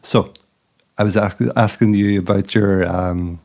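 A man's voice: fairly low pitch, flat delivery, a little slow, darkish tone. A middle-aged man hosting a casual interview, rambling and thinking aloud with many restarts. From not from the Republic of Ireland. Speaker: podcast host.

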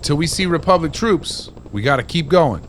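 A man plays a gruff old man on the run in a radio play. The voice is pushed high, urgent and folksy, over a layer of noisy ambience. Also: lively intonation, moderate pace, fairly bright tone. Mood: urgent, dogged. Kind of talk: audio drama character voice.

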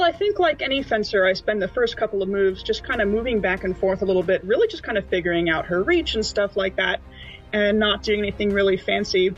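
A slightly dull, lo-fi recording of a woman at a tabletop game, with faint music behind. She describes her moves casually and playfully, in a mid-pitched voice. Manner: informal, playful.

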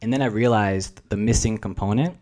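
A young man with a fairly low voice speaks in a relaxed, meandering way. He is a guest on a podcast, full of "like" and "um" as he thinks aloud about life. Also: brisk. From New Jersey. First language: Russian. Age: 20s. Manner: reflective, rambling, filler-heavy. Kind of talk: casual chat.

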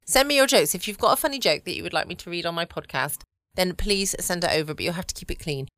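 A woman hosting a relaxed late-night bedtime podcast, chatting from home. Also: on the low side, fast, no room sound. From United Kingdom. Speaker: podcast host. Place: at home, dry quiet room.

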